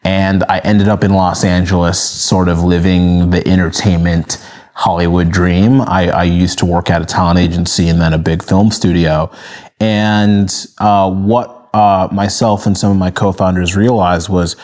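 Very deep-voiced man telling his story. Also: a little slow. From Nigeria, raised in Minnesota. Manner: relaxed, steady storytelling with fillers. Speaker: guest, media brand co-founder. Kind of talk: casual interview answers.

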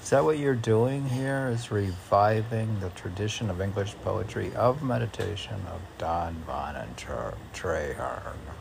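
A man's voice: fairly low pitch, expressive, a little slow, gravelly, noisy background. A man reading aloud and commenting informally, musing in a rambling way as if to himself. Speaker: podcast host reading and commenting on poems.

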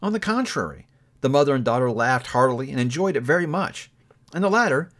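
A man narrating a classic story aloud in an expressive audiobook reading style. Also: mid-range voice, brisk. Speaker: book reviewer reading aloud.

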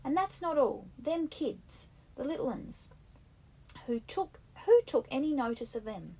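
A woman voicing an anxious, fussy schoolboy who scolds the others indignantly, in husky, rough-grammared speech. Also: sits high, sing-song, measured pace, dark tone, voice pushed up, full character voice, rough recording. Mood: scolding. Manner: indignant, breathless, nagging, with a rough country-boy grammar. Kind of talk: audiobook character reading.

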